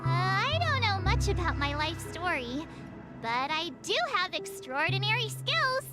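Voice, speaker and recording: A woman voicing a small, childlike, excitable sidekick creature in a video game. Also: very high voice, a little slow, exaggerated voice. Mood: proud, cheeky. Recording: loud music behind. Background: loud game music.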